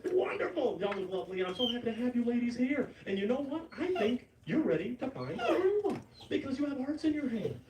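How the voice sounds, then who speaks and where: A man hosting a dating show in a lively, playful way. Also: quick pace, few pauses. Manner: animated, playful, big pitch swings. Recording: roomy, distant mic with noise.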